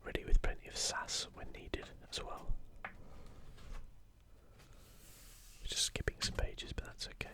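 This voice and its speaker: A man speaking in a soft, breathy whisper, gently musing in a casual ASMR show-and-tell close to the microphone. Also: slow, long pauses. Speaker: host of an ASMR gaming channel.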